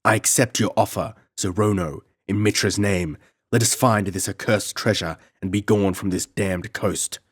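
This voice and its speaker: A man voicing a cruel, maddened middle-aged nobleman who shouts in panting fury. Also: lowish voice, moderate pace, husky. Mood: grim.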